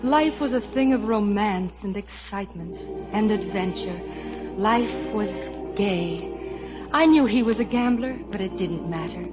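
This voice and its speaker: An actress playing a tender, adoring young bride in a romantic, earnest 1950s radio musical style. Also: female voice, mid-range voice, expressive, a little slow, lightly acted. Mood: wistful, romantic. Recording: muffled 1950 radio broadcast, orchestral music.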